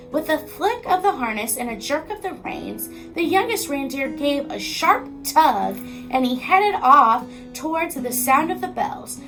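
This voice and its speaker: An American woman, a teacher, reading a children's storybook aloud with expressive narration. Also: high voice, poor audio.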